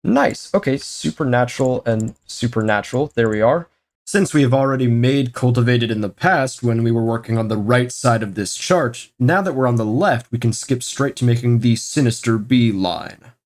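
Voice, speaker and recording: A man giving casual, self-chatting commentary as he plays a video game, thinking aloud with jokey asides and bursts of excitement. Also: mid-range voice, moderate pace. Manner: sudden excited exclamations. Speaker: let's play host.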